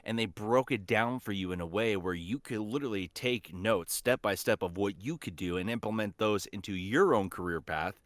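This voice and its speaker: A man's voice: on the low side, moderate pace, hardly any pauses, fairly bright tone, no room sound. A man chatting casually as a voice actor guest on a podcast, speaking in an enthusiastic, earnest way.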